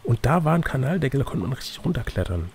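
A man's voice: mid-range voice, big pitch swings, fast talker, gravelly, a little mellow, slightly rough. A man narrating an adventure gamebook as game master, switching between scripted reading and casual chat.

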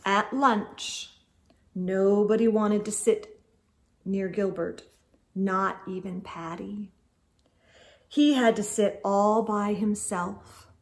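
Slow-paced middle-aged woman reading to children. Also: mid-range voice, fairly even intonation, a little mellow. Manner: deliberate, clearly phrased delivery aimed at young children. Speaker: children's storytime host reading aloud. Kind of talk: expressive picture-book read-aloud for children. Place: indoor room with bookshelves.

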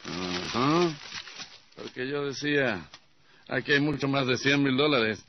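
A man's voice: mid-range voice, measured pace, lightly acted. An actor playing a smug, suspicious, coolly commanding male gangster boss in a radio drama. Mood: smug, satisfied. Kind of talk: Spanish-language radio drama acting. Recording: muffled, noisy old radio drama recording.

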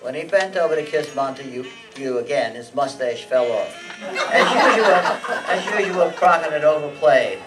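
A man's voice: fairly high pitch, expressive, moderate pace, lightly acted. Older man as a terse hard-boiled detective, distant. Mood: matter-of-fact. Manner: terse, matter-of-fact. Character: hard-boiled, sardonic male private detective narrator. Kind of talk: old-time radio acting and noir narration. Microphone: stand microphone on stage, heard from audience. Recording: live stage pickup from far off, music.

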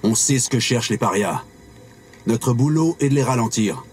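An actor playing a purposeful supersoldier in a video game, speaking in a matter-of-fact way. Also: male voice, medium pitch, animated, moderate pace, darkish tone, slight background noise, natural voice. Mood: resolute. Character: purposeful male supersoldier reporting on duty. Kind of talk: video game character acting.

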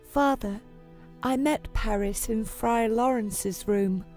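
A woman's voice: fairly high pitch, slow, a little mellow. A woman playing an earnest, determined young noblewoman with dramatic delivery in a story drama. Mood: earnest, resolute. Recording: music under the voice.

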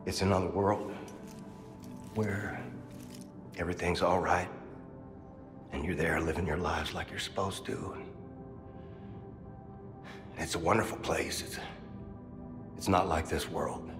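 A middle-aged soldier, a father worn down by guilt. His gravelly voice sits very low, and he speaks in grave, halting phrases. It is video game drama, with long reverb and a clear musical score behind him. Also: steady tone, over music. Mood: anguished, halting. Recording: cavernous reverb, loud score underneath.

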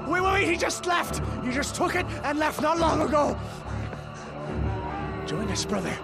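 A man's voice: extremely high pitch, sing-song, normal speed, vocal fry, heavily acted. An actor playing a fanatical male cultist in a video game, frantic and pleading. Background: loud game score music.

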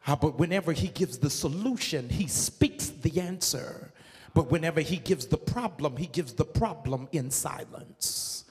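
A middle-aged man preaching a sermon to a congregation on a handheld mic in a large church, moving from measured teaching into sung, shouted preaching. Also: a little high, animated. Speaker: pastor. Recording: long reverb of a church hall.

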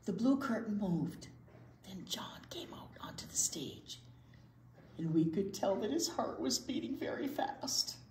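An older woman reads a picture book to children with asides, expressive and often dropping to a hushed near-whisper. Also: mid-range voice, unhurried. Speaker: children's library storytime reader. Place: indoor children's library storytime corner. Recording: roomy echo, faint background noise.

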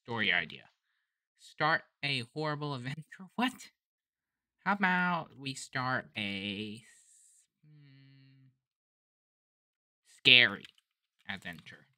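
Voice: medium pitch, very animated, takes it slowly, pauses often, sharp tone, dry recording, clean studio sound. A gamer hosting a casual playthrough, hesitantly thinking aloud while typing. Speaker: gamer host. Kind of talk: casual game playthrough commentary.

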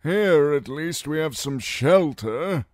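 A man's voice: fairly high pitch, animated, takes it slowly, a little mellow, dry recording, studio quality, voice pushed up. A man voicing a cadaverous, cautious old knight who speaks thinly and insistently. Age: older.

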